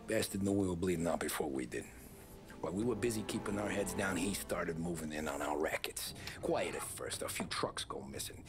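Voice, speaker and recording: An actor playing an easygoing young American gangster, speaking in a casual, understated way as in a crime drama game. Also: male voice, low-pitched, fairly quick, over music. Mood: wry, reflective. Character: easygoing young male gangster driver recounting his past.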